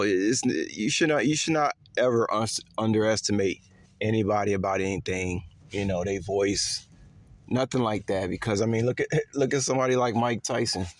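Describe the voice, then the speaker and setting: A man talking in a casual, rambling monologue to his viewers, repeating himself with lots of fillers and letting his voice swing higher and lower. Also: dry recording. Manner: pitch swings up and down. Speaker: vlogger.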